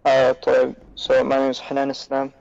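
A young man from New York, a student guest in a casual video-call interview, talking in a relaxed way with many fillers and restarts. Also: mid-range voice, brisk, creaky. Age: young adult. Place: small room indoors. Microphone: earphone headset on video call. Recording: slightly dull video call audio.

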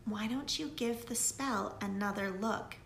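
A woman voicing a patient, kind girl best friend who speaks gently in a storybook. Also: mid-range voice, a little slow, vocal fry, a little hiss or hum. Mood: calm. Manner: calm, gentle and soothing. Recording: echoey room with soft music.

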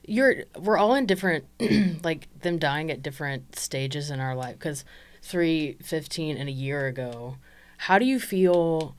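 Low, smooth-voiced young woman host. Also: animated, unhurried. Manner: easygoing, asks questions, laughs along. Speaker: podcast host. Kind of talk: casual chat. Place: small podcast studio with colored lights. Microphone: studio mic on boom arm, headphones.

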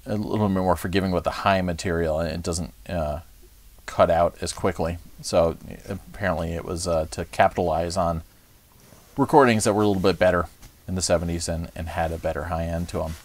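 Guy with a deep voice doing a casual gear vlog, chatty, kinda rambly, dry sound with no echo. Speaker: vlog host. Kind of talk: casual unscripted gear showcase. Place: furnished music room at home, indoors.

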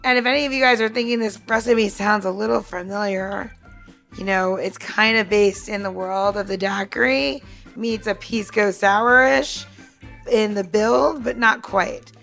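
A woman's voice: mid-range voice, steady tone, measured pace, creaky, fairly bright tone, faint music behind. A woman co-hosting a podcast as bartender, casually talking listeners through a cocktail demonstration in a chatty way. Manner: chatty, talks the listener through each step.

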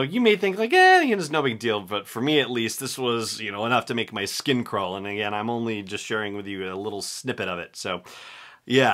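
Bright-voiced man hosting a podcast. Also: fairly low pitch. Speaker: podcast host. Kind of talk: casual solo podcast commentary. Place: small home room.

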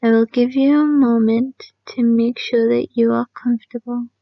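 A woman leading a guided sleep meditation in a soft, calming manner, often trailing off into a near-whisper. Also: fairly high pitch, moderate pace, no echo. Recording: dry, slightly muffled lo-fi sound.

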